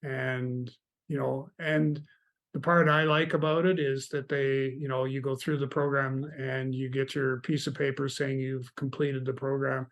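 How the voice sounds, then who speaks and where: A man from Canada, a guest in an interview, telling stories about mentoring in a relaxed, meandering way. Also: medium pitch, measured pace. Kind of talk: casual interview chat.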